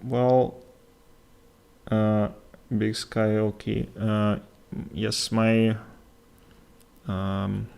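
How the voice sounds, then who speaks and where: A young man with a Russian accent talks slowly through a chess game, his voice low and gravelly. He pauses often and fills the gaps with hesitant fillers as he thinks aloud. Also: flat delivery, darkish tone. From Latvia. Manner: halting, with many fillers and pauses. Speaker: chess commentator. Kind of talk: live chess commentary with chat.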